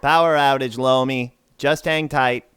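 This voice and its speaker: A man's voice: medium pitch, fairly even intonation, a little slow, a bit bright, slight background noise, close to an everyday voice. A man acting in an audio drama as a skeptical, sarcastic male mole worker who talks in a teasing, dismissive way. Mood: casual.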